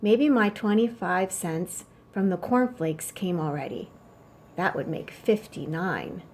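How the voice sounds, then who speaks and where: A woman's voice: fairly low pitch, lively intonation, slow, darkish tone, full character voice. A woman voicing a young boy in a children's storybook, a blunt, money-minded and eager little brother. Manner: blunt, matter-of-fact.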